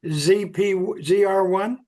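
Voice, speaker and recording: High-pitched man on a group call. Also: takes it slowly, warm tone.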